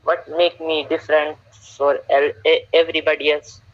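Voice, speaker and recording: A young man from Saudi Arabia, a guest on a casual live stream, talking haltingly with many repeats and fillers. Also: on the high side, level tone, highs cut off. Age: young adult.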